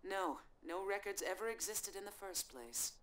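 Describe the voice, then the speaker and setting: An actress playing a blunt, shrewd investigator in an English-dubbed video game, matter-of-fact and wry. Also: female voice, medium pitch, lively intonation, normal speed, natural voice, rough recording. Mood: cool, analytical.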